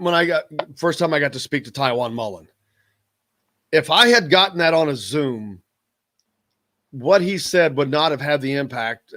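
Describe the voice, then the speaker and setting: Guy hosting sports radio, joking around, kinda rambly, mid-pitch voice. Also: fairly bright tone. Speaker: radio sports show host. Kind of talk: casual sports radio chat.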